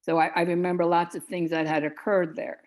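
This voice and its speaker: A woman's voice: on the low side, little pitch movement, brisk, darkish tone. A woman, telling a meandering story over a video call as a guest, often restarting her sentences. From United States. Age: 70s. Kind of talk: casual storytelling.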